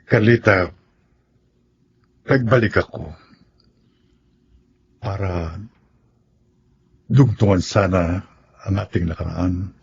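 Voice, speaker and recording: An actor playing a self-pitying, insistent middle-aged suitor in a radio drama, earnest and melodramatic with a rough voice. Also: male voice, low-pitched, takes it slowly, long pauses, heavily acted. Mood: pleading, insistent. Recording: dull lo-fi radio drama sound.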